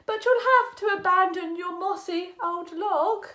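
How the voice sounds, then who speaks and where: A woman voicing a kindly, practical dog in a storybook, gently apologetic in rhyme. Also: extremely high pitch, steady tone, a little slow, echoey room, voice pushed up, full character voice. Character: kindly, practical dog offering the frog help.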